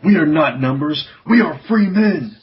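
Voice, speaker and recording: A man's voice: a little high, lively intonation, moderate pace, lightly acted. A man playing a bold, exasperated captive adventurer in a parody radio drama. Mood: bold, cocky. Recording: slightly noisy, dull lo-fi recording.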